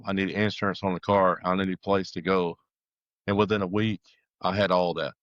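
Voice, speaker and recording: A man giving a casual personal testimony as a guest, halting and conversational, often relaying dialogue as he tells it. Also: low-pitched, a bit bright, no room sound, highs cut off. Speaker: guest telling his life story.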